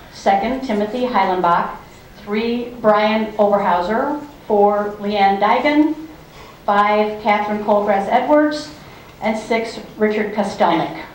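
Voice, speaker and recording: A woman moderator works through the forum rules and speaking order, halting often with ums and uhs. Her voice rings through a very echoey hall, with faint room noise underneath. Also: mid-range voice. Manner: halting, procedural, fills pauses with um and uh. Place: community meeting room with an audience.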